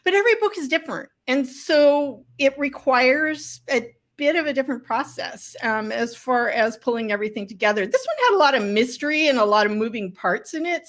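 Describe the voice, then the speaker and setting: A woman's voice: high voice, lively intonation, normal speed, a bit bright. A woman author being interviewed, telling stories about her writing in a casual, candid and informal way with self-deprecating humour.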